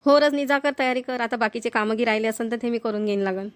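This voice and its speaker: A woman's voice: fairly high pitch, fast, lightly acted. A woman playing a kindly, talkative older mother-in-law in a village cartoon, with a rural Maharashtrian Marathi accent and homely manner. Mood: fond. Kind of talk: Marathi cartoon family comedy voice-over.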